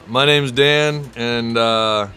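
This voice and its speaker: A man's voice: mid-range voice, steady tone, drawn-out words, bright, forceful tone, a little hiss or hum. A man in his forties from the US Midwest, a surf teacher, speaking in a thoughtful, philosophical way. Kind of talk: reflective interview talk.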